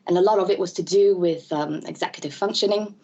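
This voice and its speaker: A woman from Malaysia in her thirties, a guest in an interview, who talks in a halting way with many fillers and restarts. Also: fairly low pitch, mellow. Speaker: interview guest, ADHD advocate. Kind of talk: conversational interview. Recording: dull lo-fi audio.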